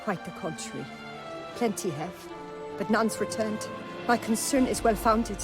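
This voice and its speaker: A woman's voice: mid-range voice, unhurried, loud music behind the voice, natural voice. An actress playing an anxious young noblewoman in a fantasy video game, speaking in a formal, florid, theatrical manner. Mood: worried. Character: anxious, courtly young noblewoman pleading for her fiancé. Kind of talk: video game character acting.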